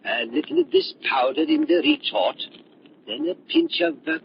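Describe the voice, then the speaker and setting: An actor playing an embittered, paranoid older scientist who feigns kindness, in theatrical 1930s radio melodrama style. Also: male voice, extremely high pitch, big pitch swings, normal speed, gravelly, heavily acted. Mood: sly, eager. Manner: theatrical, volatile, swinging from soothing to ranting. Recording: muffled, lightly noisy 1930s radio recording.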